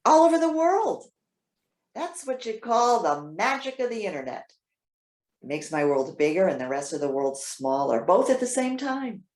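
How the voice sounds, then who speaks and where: Woman acting a chatty elderly widow, very animated. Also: medium pitch, natural voice. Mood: excited, proud. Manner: chatty, halting, earnest, emphatic. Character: trusting, excitable elderly widow smitten with online friend. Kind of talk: readers theater stage acting. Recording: roomy, echoing sound.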